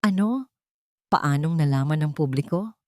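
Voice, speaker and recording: A woman's voice: low-pitched, expressive, measured pace, dry recording, studio quality. A woman with a Filipino accent voicing an imperious, scheming older matriarch in a story, commanding and ruthless. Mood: alarmed, commanding.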